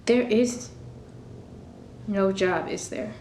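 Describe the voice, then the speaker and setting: A woman's voice: mid-range voice, very slow, darkish tone. A woman playing an exasperated host standing her ground in a radio thriller, firm, terse and indignant. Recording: echoey room, faint background noise.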